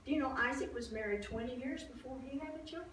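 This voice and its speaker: A woman playing an aging, wistful wife of an ancient priest, telling her life story in a chatty, halting, folksy way as a dramatized Bible monologue. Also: high voice, fairly bright tone. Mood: puzzled, yearning. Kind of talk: first-person dramatized Bible storytelling to an audience. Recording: echoey room, distant mic, faint noise.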